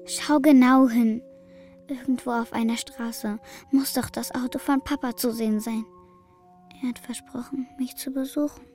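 A woman as a high-voiced, eager young girl. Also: steady tone, normal speed, a little mellow, soft background music, natural voice. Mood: longing, pressing. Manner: eager, pressing questions. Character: young girl of the steppe, longing, stubborn, urgent. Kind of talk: German children's radio drama acting.

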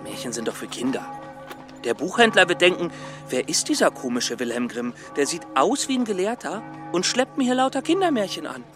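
Ailing timid male scholar, plaintive, hesitant, raised pitch, very lively intonation, radio play, background music. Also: brisk. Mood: reluctant, timid. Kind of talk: children's radio play acting.

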